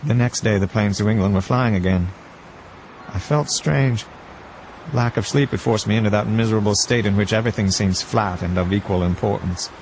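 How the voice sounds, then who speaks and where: A man playing a weary, brooding first-person narrator, telling a story in a measured, reflective way. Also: lowish voice, darkish tone, slight background noise, lightly acted, low-quality recording. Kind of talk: scripted story narration, hardboiled. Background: music.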